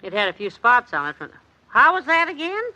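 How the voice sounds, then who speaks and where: Brief: a sensible wife, bright high tone, 1950s radio comedy. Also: female voice, big pitch swings, brisk, low-quality recording. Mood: pleasant. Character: good-natured practical middle-aged wife.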